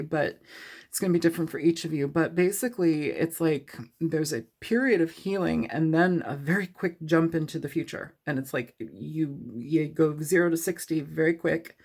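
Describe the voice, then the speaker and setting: A woman's voice: deep voice, darkish tone. A woman giving a casual tarot reading to camera, sniffly from a cold, talking in a loose, rambling way full of fillers, often laughing. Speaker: tarot reader.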